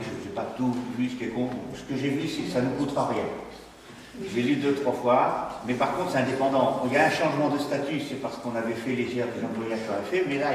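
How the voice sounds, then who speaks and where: A man chairing a council meeting, speaking informally off the cuff and often rephrasing himself. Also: medium pitch. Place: large echoey meeting room. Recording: echoey hall, distant mic, background noise.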